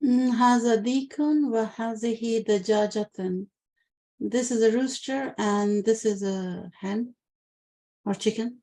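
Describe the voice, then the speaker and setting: A woman's voice: a little high, measured pace, dark tone, poor audio. A woman student with an Urdu accent reading a sentence and translating it on a video call. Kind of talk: reading aloud in class.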